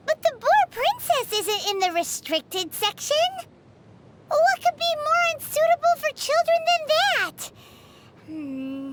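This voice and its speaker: A woman voicing a tiny, perky, childlike fairy companion in a video game, with a squeaky voice. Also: very noisy, heavily acted. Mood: curious, cheeky. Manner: perky, refers to self in third person. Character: tiny childlike female floating fairy companion, chirpy and food-loving. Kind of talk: scripted video game dialogue.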